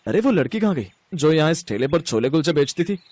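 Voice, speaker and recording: A man's voice: a little high, very animated, fast talker, darkish tone. A man voicing a kind, devoted young bus driver in a moral story, sincere, polite and encouraging. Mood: concerned.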